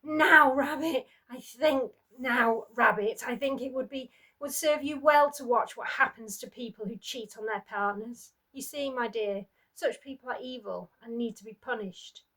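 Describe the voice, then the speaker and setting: A woman reading aloud, voicing a brutal, sneering abusive husband who taunts in a loud, snarling way. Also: fairly high pitch, normal speed, hardly any pauses, rough recording. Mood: taunting.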